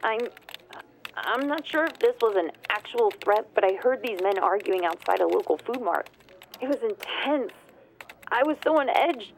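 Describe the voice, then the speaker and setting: A woman's voice: fairly high pitch, lively intonation, normal speed, a little hiss or hum, low-quality recording. An actress playing a frightened ordinary woman in an audio drama, narrating and speaking in a tense, hesitant way. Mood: nervous. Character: anxious ordinary woman, a frightened witness narrating her ordeal. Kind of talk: first-person audio drama narration and dialogue.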